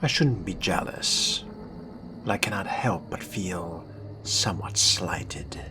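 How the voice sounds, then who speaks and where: A man role-playing a haughty, weary vampire fledgling in a video game, muttering sardonic asides in a deliberate, often whispery way. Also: deep voice, animated. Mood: weary, brooding. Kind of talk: in-character video game role-play commentary. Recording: music behind the voice.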